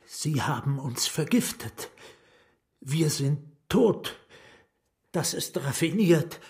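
An actor playing a tormented, brooding writer, deliberate and dramatic in a radio play. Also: male voice, a little high, animated, a little mellow. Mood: dawning understanding. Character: tormented, brooding writer, bitter yet fervent.

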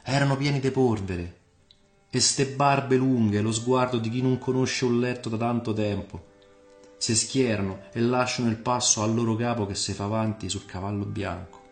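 A man's voice: medium pitch, fairly even intonation, moderate pace, lightly acted. A man voicing a fervent, talkative Roman patriot in a story, with a Roman accent and vivid, emphatic colloquial storytelling. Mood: awed, excited. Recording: reverberant voice over music.

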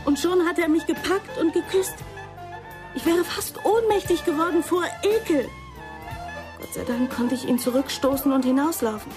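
An actress playing a defensive, prim young woman in a radio crime drama, speaking in a breathy, dramatic, indignant manner. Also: very high-pitched, moderate pace, music in the background. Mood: agitated, pleading. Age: young adult.

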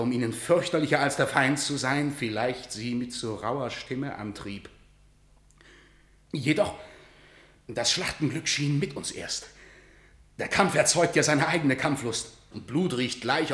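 An actor playing a grim messenger of defeat in a radio drama, declaiming solemn stage verse with a rhetorical manner. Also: male voice, medium pitch, bright, forceful tone, echoey room. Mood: grave, brooding. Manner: solemn, rhetorical, measured delivery. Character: grim male battle messenger bearing news of catastrophic defeat.

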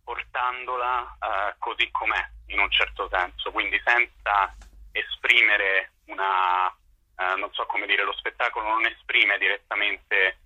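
A man's voice: lowish voice, steady tone, measured pace, sharp tone, dry recording. A man interviewed as a playwright over a phone line, answering spontaneously and often searching for words. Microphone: telephone.

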